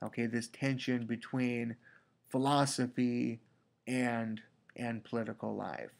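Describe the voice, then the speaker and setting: Male teacher with a cold, congested, unhurried lecture delivery with restarts. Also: mid-range voice, level tone, vocal fry. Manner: slow, deliberate, with repeated restarts. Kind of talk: recorded lecture. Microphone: computer mic for a screen recording.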